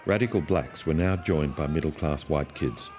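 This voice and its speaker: A man's voice: a bass voice, moderate pace, darkish tone, faint music behind. A man reading scripted documentary narration.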